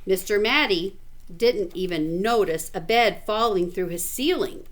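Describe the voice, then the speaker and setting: A woman reading a picture book aloud in an animated, expressive way, with chatty asides to the listener. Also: mid-range voice, a little slow. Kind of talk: animated storybook read-aloud with casual asides.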